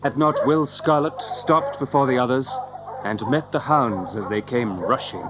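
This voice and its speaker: A man's voice: medium pitch, measured pace, heavy background noise. A man narrating an adventure audiobook in a storytelling manner with old-fashioned literary phrasing. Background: music under the narration.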